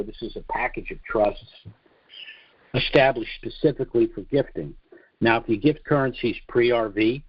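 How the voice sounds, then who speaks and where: An American man giving a monologue briefing as host of a conference call, speaking deliberately and haltingly, with emphatic drawn-out phrases. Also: lowish voice, no echo. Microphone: conference call line. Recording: dull, lo-fi conference call audio.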